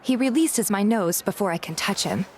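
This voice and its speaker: A woman's voice: medium pitch, animated, normal speed, a little mellow, a little hiss or hum, natural voice. A woman playing a feisty, suspicious young woman who narrates her own story in a game fan dub. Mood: wary narration. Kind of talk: scripted first-person narration and game dialogue, fan dub.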